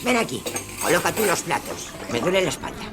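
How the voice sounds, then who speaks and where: Una actriz que interpreta, en un radioteatro, a una abuela anciana, dura, regañona y dominante, con acento de España. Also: female voice, on the low side, big pitch swings, a little fast, noisy background. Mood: scolding, bitter. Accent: Castilian Spanish. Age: older. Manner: scolding, nagging, sharp-tongued. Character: harsh, scolding, domineering old grandmother. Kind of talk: audio drama acting.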